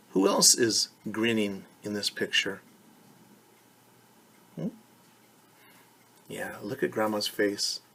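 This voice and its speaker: Man, slightly raspy, unhurried pace, children's read-aloud lesson, simple patient explanations, questions to the listeners. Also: on the low side, a bit bright. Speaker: teacher reading a picture book to children.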